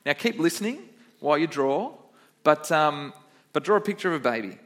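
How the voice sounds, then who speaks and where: A man's voice: fairly high pitch, lively intonation, brisk, a bit bright. A young man, a kids pastor, giving a chatty, upbeat children's sermon in church and quizzing the kids.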